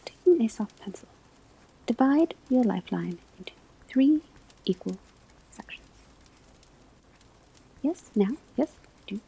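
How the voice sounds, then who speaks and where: Woman acting as witch academy teacher, breathy whisper, soft mellow tone, formal, patient, precise, ASMR roleplay lecture. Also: fairly high pitch, lively intonation, slow pace, pauses often, full character voice, low-quality recording. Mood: patient, attentive. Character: patient, formal witch-school palmistry professor.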